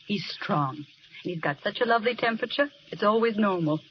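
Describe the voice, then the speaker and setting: A woman's voice: medium pitch, animated, fast, natural voice. A woman acting in an old radio drama as a devoted, tender young nurse in love. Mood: bright, teasing. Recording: muffled, hissy vintage radio recording.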